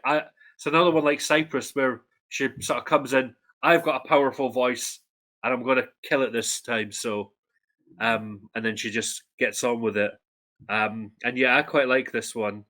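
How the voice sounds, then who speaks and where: Bright-toned Scottish man, casual. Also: medium pitch, fairly even intonation, moderate pace, low-quality recording. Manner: hesitant, frequent ums. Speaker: co-host, Eurovision fact presenter. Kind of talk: casual chat.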